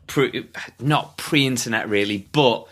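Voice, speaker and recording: A man's voice: mid-range voice, takes it slowly, a bit bright. A British man hosting a podcast, reading an upbeat intro and chatting with his guest. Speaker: podcast host.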